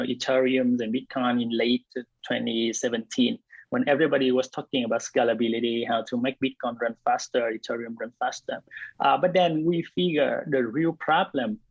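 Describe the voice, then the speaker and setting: A man's voice: medium pitch, fairly even intonation, normal speed, gravelly, no room sound. Hesitant Vietnam-born man, dry lo-fi sound. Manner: hesitant, many fillers and restarts. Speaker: blockchain company co-founder and CTO, guest. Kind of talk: casual interview answers.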